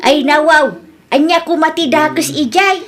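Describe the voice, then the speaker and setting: A woman's voice: sits high, unhurried, fairly bright tone. A woman playing a sceptical villager in a radio comedy drama, questioning and dismissive, with a Filipino accent.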